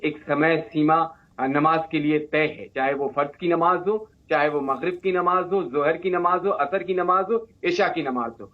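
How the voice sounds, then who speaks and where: Male political spokesperson, somewhat high voice, explanatory unscripted delivery, thin phone-quality audio. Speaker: party spokesperson, debate panelist. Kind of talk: unscripted explanatory debate talk. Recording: narrow phone-like debate feed.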